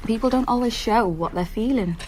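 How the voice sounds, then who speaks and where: An actress playing a warm, playful nanny in a naturalistic radio drama, teasing and gently encouraging. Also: female voice, fairly high pitch, a little fast, a little hiss or hum, lightly acted. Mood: gentle, cheerful. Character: warm, playful young female live-in nanny.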